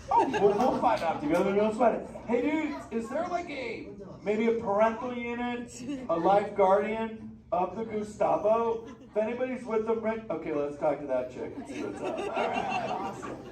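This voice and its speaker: Excitable high-pitched surfer dude sea turtle. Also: male voice, normal speed, crowd noise. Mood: teasing, amused. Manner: drawling surfer slang, goofy and excitable. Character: laid-back surfer dude sea turtle, exuberant adult male. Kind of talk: live improvised theme park show banter. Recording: echoey theme park theater, distant, noisy.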